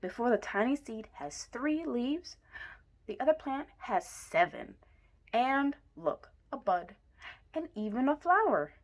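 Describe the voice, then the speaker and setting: A woman reading a children's picture book aloud in an expressive way, with deliberate emphasis. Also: fairly high pitch. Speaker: story reader.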